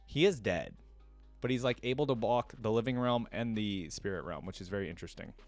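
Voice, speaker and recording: A man's voice: fairly low pitch, animated, fairly quick, a little mellow, faint music behind. A man hosting a reaction video, giving casual, rambling commentary on video game voice lines.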